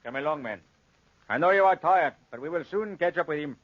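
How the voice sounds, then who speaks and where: A determined army lieutenant speaks fast with lively pitch. It is 1940s radio drama, dry and muffled. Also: male voice, no echo. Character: brisk, determined army lieutenant leading a desert search.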